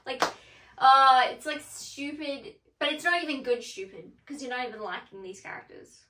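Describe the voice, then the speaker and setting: A woman's voice: high voice, expressive, moderate pace, pushed and bright. A woman co-hosting a casual film review show, chatting informally with exasperated emphasis. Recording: echoey room, distant from the mic.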